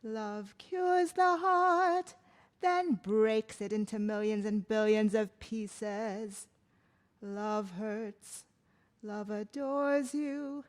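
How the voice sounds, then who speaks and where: A woman voicing a queen divided between two loves, speaking in a high, bright register. She recites her lines in a poetic way, at an unhurried pace. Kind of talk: table read of a stage play.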